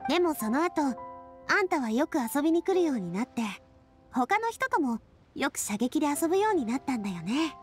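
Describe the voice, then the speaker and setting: A woman's voice: high-pitched, big pitch swings, fairly quick, a little mellow, faint music behind. An actress playing a sweet, friendly young woman in a video game, talking casually like an old friend. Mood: fond, nostalgic. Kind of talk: Japanese game voice acting.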